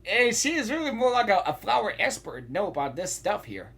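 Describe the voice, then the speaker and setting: A woman's voice: medium pitch, very animated, a little fast, gravelly, fairly bright tone. A woman giving casual, informal live reaction commentary while playing a video game. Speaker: gaming reaction streamer.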